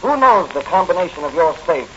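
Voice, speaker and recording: An actor playing a take-charge masked crime fighter giving authoritative instructions in 1940s radio acting. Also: male voice, sits high, very animated, a little fast. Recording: muffled, faintly hissy old radio recording.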